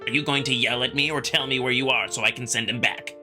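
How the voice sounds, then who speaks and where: A man's voice: medium pitch, steady tone, fast, pushed and bright, faint music behind. A man voicing a scheming, smug wolf prince with a haughty, dismissive manner.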